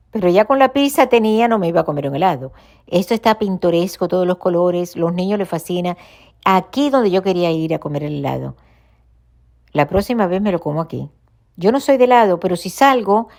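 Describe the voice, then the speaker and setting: A woman's voice: lowish voice, darkish tone, no echo, studio quality. A woman who grew up in Los Angeles, rambling cheerfully on a walking vlog at night, often changing topics and laughing at herself. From raised in Los Angeles. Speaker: vlogger. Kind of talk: casual vlog walking tour. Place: outdoor street corner at night.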